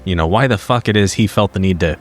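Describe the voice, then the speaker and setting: Very low-voiced man at a tabletop game. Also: quick pace. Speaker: tabletop roleplaying player. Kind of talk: improvised game narration.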